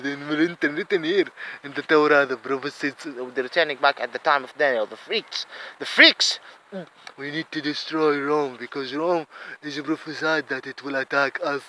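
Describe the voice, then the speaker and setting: Man, Arabic accent, heated monologue, repeats words, bright tense voice, faint street noise. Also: on the high side, low-quality recording. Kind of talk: impassioned solo monologue.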